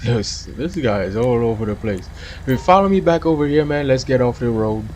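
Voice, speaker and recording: A man's voice: medium pitch, animated, a little fast, darkish tone, slight background noise. A man from Trinidad with a Trinidadian accent, casually commentating a police game stream and chatting with viewers. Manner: casual, chatty, laughs often. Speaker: gaming streamer. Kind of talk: live game commentary with chat.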